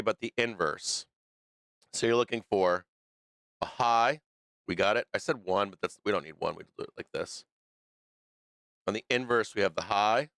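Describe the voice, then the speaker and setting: A man's voice: lowish voice, fairly bright tone, no echo. A man hosting a live stock market stream, losing his voice, thinking aloud through the charts in a loose way with fillers and restarts. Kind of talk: live trading commentary over charts.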